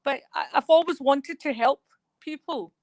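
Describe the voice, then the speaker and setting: A woman's voice: high-pitched, moderate pace, a little mellow, no echo. A woman talking as a guest in a remote radio interview, rambling and hesitant with many fillers. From Scotland.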